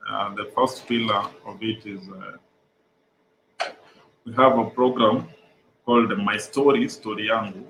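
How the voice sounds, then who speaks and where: A young Kenyan man whose first language is Swahili, earnestly explaining his organization's programs over a video call, with frequent "uh" pauses. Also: fairly low pitch, fairly even intonation, a bit bright, echoey room, a little hiss or hum, poor audio. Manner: earnest, many "uh" pauses, repeats phrases. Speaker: guest, mental health organization co-founder.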